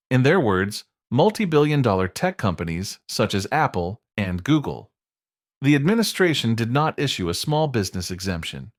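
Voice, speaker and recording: A man reading scripted explainer narration in a measured, emphatic way, built on short dramatic statements. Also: on the low side, no room sound, studio quality.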